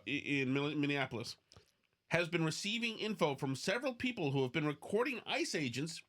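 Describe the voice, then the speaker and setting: Excitable, profane male podcast host. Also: a little high. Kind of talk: casual opinionated banter.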